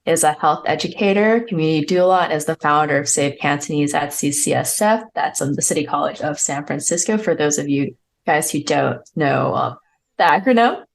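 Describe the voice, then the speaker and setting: Low, creaky-voiced woman co-hosting. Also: fairly quick. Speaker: podcast co-host. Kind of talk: guest introduction, semi-scripted.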